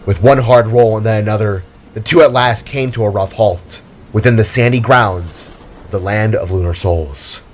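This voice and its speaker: A man's voice: on the low side, normal speed, a little hiss or hum. A man reading scripted, dramatic story narration. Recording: music and rain under the voice. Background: music, rain and thunder.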